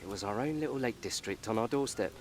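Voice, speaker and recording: An actor playing a tipsy, sulky young son with hurt feelings in a radio soap. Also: male voice, on the low side, lively intonation, fast talker, lightly acted. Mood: subdued, resentful.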